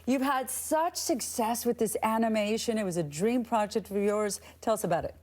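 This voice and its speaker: A woman's voice: a little high, normal speed, darkish tone. A woman with an American accent hosting a morning TV interview in an upbeat, encouraging way. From Texas, United States. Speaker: TV host interviewer.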